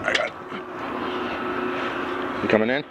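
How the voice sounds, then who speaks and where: A man at a lake giving a friend short, laid-back words of encouragement. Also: a little slow. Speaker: fishing companion. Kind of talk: casual chat. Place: lakeside outdoors. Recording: muffled, loud outdoor noise.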